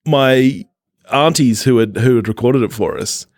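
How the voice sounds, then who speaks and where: A man's voice: mid-range voice, measured pace, darkish tone, no room sound, studio quality. A man co-hosting a casual film podcast, chatting in a giggly, enthusiastic way.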